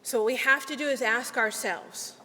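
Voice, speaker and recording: A woman's voice: on the high side, sharp tone. Earnest woman speaking in a hall. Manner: earnest, deliberate, with measured pauses. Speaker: legal aid attorney. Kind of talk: prepared ceremonial speech. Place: large hall at a ceremony.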